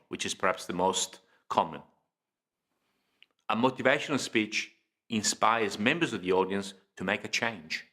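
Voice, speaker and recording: A man presenting an instructional lesson in a measured, deliberate way, pausing between phrases and stressing key words. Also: lowish voice, fairly bright tone. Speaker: presenter of public speaking training video. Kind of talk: informative instructional lecture, scripted delivery.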